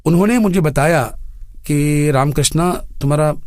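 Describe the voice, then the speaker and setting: A man in his fifties from India tells his own story as a studio interview guest, earnestly and in deliberate phrases with English words mixed in. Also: on the high side, a little mellow, no room sound. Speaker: interview guest, bank general manager. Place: radio studio.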